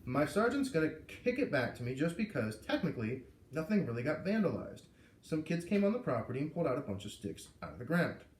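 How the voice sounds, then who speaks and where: Folksy, curious policeman, read by a man, lively pitch. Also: brisk. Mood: explaining. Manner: folksy, earnest, explaining. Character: plain-spoken, mild, curious policeman. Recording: echoey room, far from the mic.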